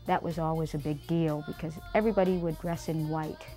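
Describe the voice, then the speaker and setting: Woman from American Samoa, low voice, measured and unhurried, interview recollection with faint music under it. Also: steady tone, mellow. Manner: measured, unhurried, pausing with fillers. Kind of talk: reminiscing interview.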